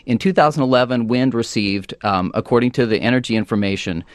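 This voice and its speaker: An American man speaking as a policy guest on a radio talk show, often halting and rephrasing as he goes. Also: mid-range voice, no room sound, studio quality. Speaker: energy policy director, panel guest.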